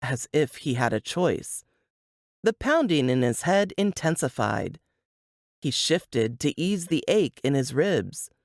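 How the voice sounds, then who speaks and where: A woman's voice: rumbling low, very animated, slow, no room sound, studio quality. A woman reading scripted fiction narration as an audiobook narrator.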